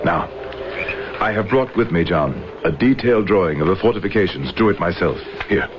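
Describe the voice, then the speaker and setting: A very low-pitched, resentful and scheming general in a vintage radio play. He speaks with proud, commanding self-assurance. The broadcast is dull and clearly noisy. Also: expressive, fairly quick. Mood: scheming, businesslike. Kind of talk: old-time radio drama acting.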